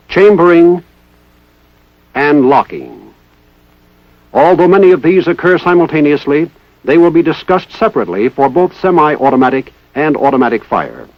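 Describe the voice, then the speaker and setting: A man's voice: a little high, no room sound. A man with an American accent reading formal, methodical instructional narration in the style of a 1960s military training film. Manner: formal, precise, methodical enunciation. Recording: dull lo-fi 1960s film soundtrack.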